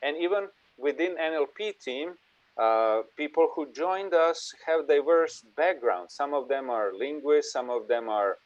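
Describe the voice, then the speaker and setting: A man's voice: on the high side, expressive, a little slow, dry recording. Guy doing an online conference talk, conversational, dry muffled lo-fi sound. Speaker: invited speaker presenting an AI institute. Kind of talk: conference presentation and Q&A. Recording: dull, lo-fi online call audio.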